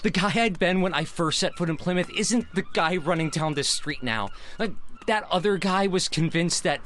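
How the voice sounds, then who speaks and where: An actor playing an earnest, remorseful young man in an audio drama, speaking in a sincere, anxious, sometimes halting way. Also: high-pitched, a bit bright, a little hiss or hum. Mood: anxious, rueful. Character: earnest, remorseful young man narrating his own confession. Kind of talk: first-person audio drama narration and dialogue.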